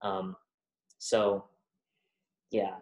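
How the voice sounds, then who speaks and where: Deep-voiced young woman, echoey room. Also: little pitch movement, darkish tone. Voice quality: deep voice from testosterone. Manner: halting, thoughtful, many fillers and restarts. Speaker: guest. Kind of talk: reflective interview conversation.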